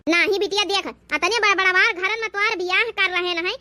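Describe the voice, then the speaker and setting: A woman's voice: very high-pitched, fairly quick, sharp tone, exaggerated voice. A woman voicing a proud, demanding village mother-in-law in a cartoon comedy, insistent, with an Awadhi accent.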